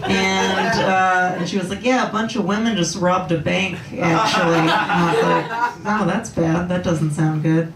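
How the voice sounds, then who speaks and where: A young woman doing a slow, deadpan stand-up set with a low voice and halting delivery full of ums. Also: over loud music. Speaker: stand-up comedian. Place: comedy club stage with laughing audience. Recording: roomy club sound from a distance.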